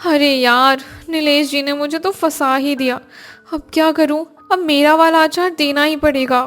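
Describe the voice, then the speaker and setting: Casting: woman as earnest young housewife for family cartoon, very high voice, courteous delivery, music underneath. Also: steady tone, normal speed, fairly bright tone. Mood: flustered. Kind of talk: Hindi moral cartoon story acting.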